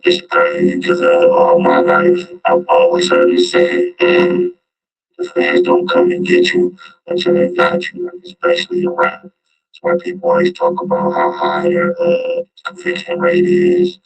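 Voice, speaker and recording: A man's voice: extremely high pitch, huge pitch swings, vocal fry, faint music behind, rough recording. A man giving casual, slangy reaction commentary in a loose street manner, as a vlog host. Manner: slangy, drawn-out street talk.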